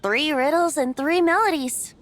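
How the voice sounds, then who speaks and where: A woman's voice: high voice, moderate pace, fairly bright tone. A woman voicing a playful, youthful male wind god and carefree bard in a video game, with a theatrical, lilting delivery. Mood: nostalgic. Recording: music under the voice.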